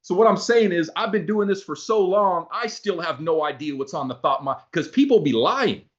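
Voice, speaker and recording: A man giving a motivational talk to a group, animated, acting out both sides of his stories. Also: high voice, moderate pace. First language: not English. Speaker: motivational speaker. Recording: dull lo-fi sound.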